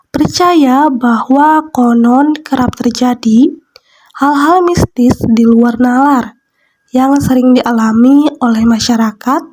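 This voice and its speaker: A woman with a stuffy nose from a cold, chatting casually while eating on camera and reading a spooky story aloud, often saying "nah" between thoughts. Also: sits high. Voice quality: nasal. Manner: slow, soft, frequent "nah" fillers while eating. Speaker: mukbang vlogger. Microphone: close mic for eating sounds.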